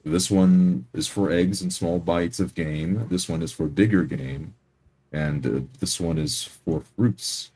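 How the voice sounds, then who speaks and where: A man playing a tabletop game, improvising his character's words and actions in measured, slightly formal wording. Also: low-pitched, dark tone, husky.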